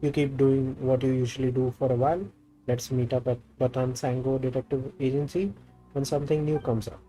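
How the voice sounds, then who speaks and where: Mellow man reading game dialogue. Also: mid-range voice, little pitch movement, a little fast, soft background music, rough recording. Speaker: game streamer. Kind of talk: reading game dialogue aloud while playing.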